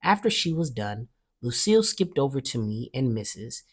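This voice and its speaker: A woman reading a children's story aloud with expressive narration. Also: extremely low pitch, normal speed, husky. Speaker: storybook reader.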